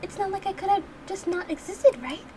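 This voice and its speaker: A woman's voice: very high-pitched, a little fast, music in the background, voice pushed up. A woman voicing a timid, polite young woman in a visual novel game, earnest, anxious and hesitant. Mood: puzzled, worried. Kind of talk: voiced visual novel game dialogue read aloud.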